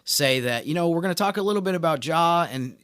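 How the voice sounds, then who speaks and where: A man co-hosting a sports podcast as a reporter, giving casual analysis in a measured, halting way. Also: fairly high pitch, animated, fairly bright tone, smooth, dry recording. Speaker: team reporter and podcast co-host.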